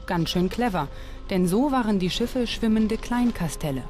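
A woman's voice: medium pitch, expressive, normal speed, darkish tone, slight background noise. A woman reading scripted documentary narration as the narrator. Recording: music behind the voice. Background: background music.

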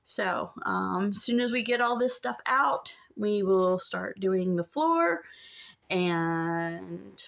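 Casting: middle-aged female vlogger, mid-pitched voice, informal and halting, talking into a handheld phone. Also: lively intonation, gravelly, a bit bright. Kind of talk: casual update to camera. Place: inside an empty converted school bus. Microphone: phone at arm's length.

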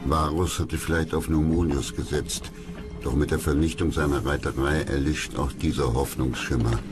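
A man narrates a documentary with an extremely deep voice. His scripted delivery is measured and dramatic, and loud music plays beneath him. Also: steady tone.

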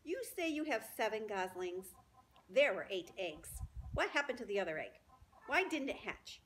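A woman voicing a sly, greedy male rat with a sharp, snarling voice. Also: mid-range voice, lively intonation, moderate pace, slight background noise, natural voice. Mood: pushy. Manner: snarling, pushy.

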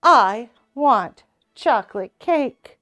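A woman voicing a stubborn little girl bunny in a storybook, with drawn-out, childlike, emphatic protests. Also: fairly high pitch, lively intonation, a bit bright, full character voice. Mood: whiny, upset.

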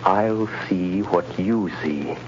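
An actor in 1930s radio style playing a mysterious, commanding crime fighter who reads minds, intoning deliberately. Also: male voice, low voice, music in the background. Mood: grave, urgent. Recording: muffled, noisy old radio recording.

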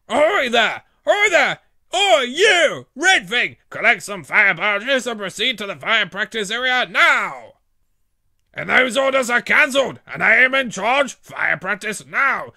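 A pompous cartoon naval tugboat, bossy and military. The high voice is gravelly and very forceful, with a dry, close sound. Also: male voice, no echo, full character voice. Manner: pompous, barking military orders. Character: pompous, bossy male navy tugboat officer. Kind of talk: cartoon character voice acting.

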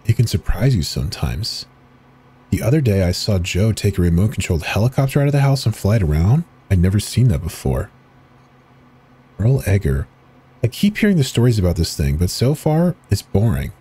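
A man giving a slow, deliberate dramatic reading of forum posts and web pages in an ominous tone. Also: fairly low pitch, dark tone, husky. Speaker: channel host reading horror texts.

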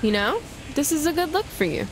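A woman's voice: high voice, very animated, a little fast, music in the background, low-quality recording. An actress playing a poised, sardonic woman gangster in a video game, dry and sarcastic.

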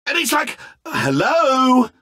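A man's voice: very high voice, sing-song, takes it slowly, bright, forceful tone, no room sound, clean studio sound, exaggerated voice. An actor playing a petulant, needy, godlike male villain in a video game, with a British accent and a theatrical, sarcastic, stammering manner. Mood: exasperated, whiny. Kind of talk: video game character acting.

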